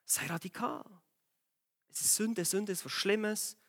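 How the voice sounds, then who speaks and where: Male preacher, voice a bit worn from a cold, bright and forceful, urgent exhortation. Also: high voice, unhurried, creaky. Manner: urgent, exhorting, asks the congregation direct questions. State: has a cold, voice a bit strained. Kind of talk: sermon.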